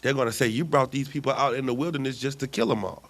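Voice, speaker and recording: A man voicing Moses pleading humbly with God, soft and low. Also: fast talker.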